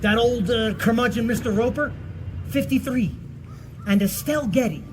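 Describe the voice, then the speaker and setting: A high-voiced, excitable husband in his fifties, acted by a man in a stage comedy. He stammers and protests defensively, fretting like someone who feels his age. The live theatre recording is clearly noisy. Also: moderate pace. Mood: agitated. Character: fifty-two-year-old husband, excitable, defensive, fretting over aging.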